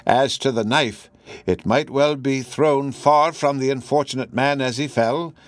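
A man's voice: mid-range voice, unhurried, no echo, clean studio sound, lightly acted. A man voicing an elderly, scholarly professor in an audiobook, courteous and philosophical, speaking hesitantly with small stammers. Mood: musing, courteous. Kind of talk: audiobook character voice.

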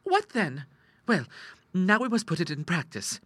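A gleeful, mischievous young lady , as a male narrator puts her on, pitched high and swooping. She gushes and teases like a bold, girlish storybook heroine. The voice is bright. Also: measured pace, no echo, full character voice. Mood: scheming. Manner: excited, teasing, girlish gushing. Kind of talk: audiobook character acting.

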